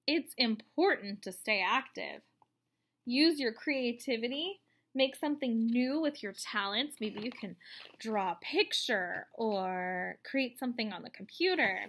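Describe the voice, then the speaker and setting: Bright, high-voiced woman reading to children. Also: unhurried. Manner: expressive, explanatory, speaking simply to children. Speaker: teacher reading a children's book aloud. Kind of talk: read-aloud for children. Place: indoors, room at home.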